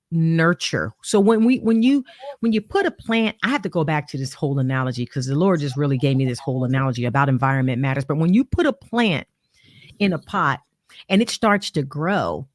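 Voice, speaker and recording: A woman's voice: sits low, expressive, normal speed, no echo. A woman hosting a faith-based leadership talk, speaking emphatically and building her points through analogies.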